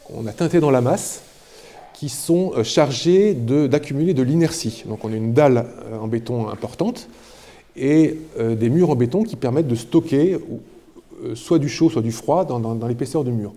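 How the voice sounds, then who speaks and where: A man giving a spontaneous guided explanation as an architect, halting and often repeating words as he goes. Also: medium pitch, dark tone, no echo. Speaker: architect presenting a building project.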